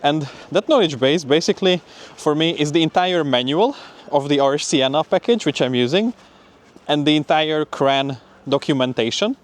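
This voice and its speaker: A man's voice: a little high, expressive, moderate pace. Casual, conversational young man vlogging. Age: young adult. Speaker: PhD student vlogger. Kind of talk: casual spoken vlog update.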